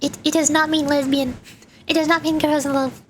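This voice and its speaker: A woman's voice: high-pitched, level tone, brisk, a little hiss or hum. A woman livestreamer chatting with viewers as she plays a game, explaining things and repeating herself for emphasis. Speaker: VTuber streamer. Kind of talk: livestream chat while playing a game.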